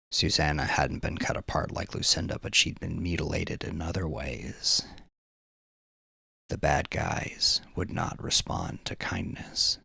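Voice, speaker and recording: A man's voice: sits low, gravelly, a bit bright, breathy edge, no room sound, studio quality. A man narrating a story as a dramatic scripted audiobook reading.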